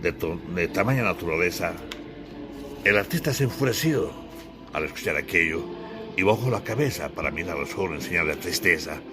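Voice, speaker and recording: Low-voiced man narrating an audiobook over music. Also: lively intonation, a little fast. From Bolivia. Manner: theatrical, solemn storytelling. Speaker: author and narrator.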